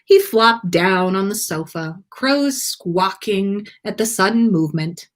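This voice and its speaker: A woman narrates a piece of written fiction aloud. Her delivery is unhurried and expressive, with animated swings in pitch that suit storytelling. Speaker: fan fiction reader on a podcast livestream.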